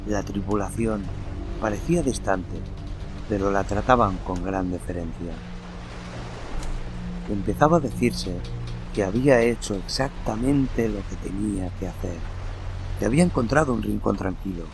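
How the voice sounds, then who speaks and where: A man narrating a horror story in a measured, dramatic way, with lingering pauses. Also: fairly low pitch. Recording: narration over background music.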